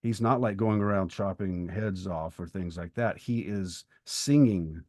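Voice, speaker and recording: A man co-hosting a podcast in casual literary discussion, speaking in a reflective, halting way with emphatic pauses. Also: low-pitched, darkish tone, dry recording, clean studio sound. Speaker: podcast co-host.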